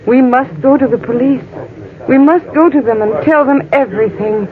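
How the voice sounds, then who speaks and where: A thin, narrow-band old radio recording of a woman acting a devoted young heroine. Her voice sits high, and she pleads with earnest, melodramatic feeling. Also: moderate pace, crowd noise, close to an everyday voice. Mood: earnest, resolute.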